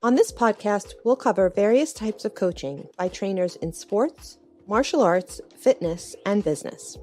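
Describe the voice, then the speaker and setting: A woman's voice: medium pitch, expressive, a little slow, a little mellow. A woman reading a scripted podcast intro in an upbeat, promotional way. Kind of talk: scripted announcement. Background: background music.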